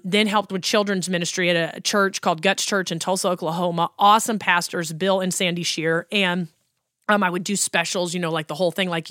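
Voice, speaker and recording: A woman's voice: lowish voice, a bit bright, dry recording, studio quality. A woman in her thirties, a worship pastor hosting a podcast, chatting about her life with many ums and likes. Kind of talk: casual personal storytelling.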